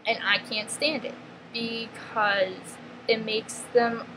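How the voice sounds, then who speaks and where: Woman, New York accent, somewhat high-pitched, blunt confessional vlogger who restarts often; audible ambient noise behind her. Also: steady tone. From northeastern US, near New York. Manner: blunt, emphatic, peppered with "freaking" and "like"; halting, restarts mid-sentence. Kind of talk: confessional vlog monologue. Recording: noticeable background ambience noise.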